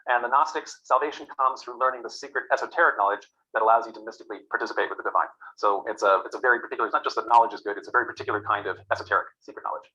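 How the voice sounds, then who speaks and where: A man lectures at a rapid clip, his voice bright and forward. He rambles, restarts and fills gaps with "uh" and "um". The recording sounds dull and lo-fi. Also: fairly low pitch, fairly even intonation. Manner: rambling, many fillers and restarts. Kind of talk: classroom lecture.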